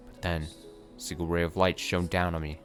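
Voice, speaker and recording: A man's voice: sits low, little pitch movement, brisk, faint music behind, natural voice. A man voicing a tormented young knight, speaking in a solemn, tender way that sometimes turns shaky. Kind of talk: dramatic reading of a story.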